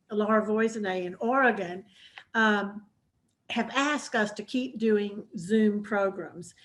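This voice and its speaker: Southern woman host, chatty moderator of a book talk, lots of fillers. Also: mid-range voice. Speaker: moderator of a women's book program. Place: hybrid in-person and Zoom event.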